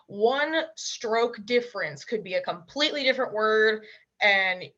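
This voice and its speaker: A young woman with a bright, forceful voice chats casually as an interview guest. She is blunt and emphatic, tossing in quick asides. The sound is dull and lo-fi, like a remote call. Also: medium pitch, lively intonation. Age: young adult. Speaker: interview guest, language learner.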